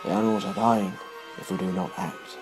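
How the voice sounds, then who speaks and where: A man's voice: sits low, fairly even intonation, fairly quick, music in the background, natural voice. An actor playing an earnest man making an urgent plea in a radio play. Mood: grave, urgent. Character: earnest, urgent man pleading to save dying animals.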